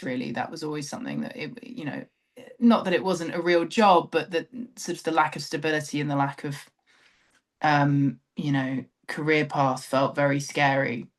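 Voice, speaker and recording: Reflective southern English woman in conversation. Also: low-pitched. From London, England. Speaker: interview guest, theatre director. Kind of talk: conversational interview, personal recollection.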